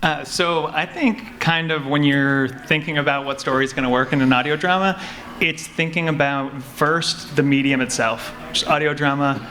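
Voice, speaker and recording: A man speaking as a panelist, explaining his creative craft in a measured way, thinking aloud as he goes. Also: on the high side, steady tone. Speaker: panelist, audio drama creator. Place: convention panel room. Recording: noisy live convention room.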